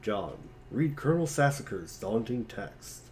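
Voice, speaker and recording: A man's voice: fairly low pitch, big pitch swings, a little slow, slight background noise. A man reading short scripted command prompts in an amateur dramatic reading. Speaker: reader of commands.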